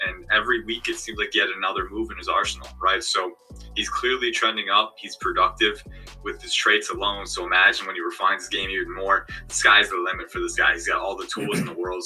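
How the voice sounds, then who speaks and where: Deep, very bright-toned male analyst. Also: husky, rough recording. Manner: confident, analytical, explains in long runs. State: parched throat. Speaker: guest football analyst. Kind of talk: casual chat.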